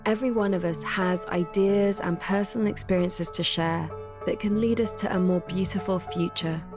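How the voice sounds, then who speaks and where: Calm woman hosting a podcast. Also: lowish voice, moderate pace, over music. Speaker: podcast host. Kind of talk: scripted podcast narration.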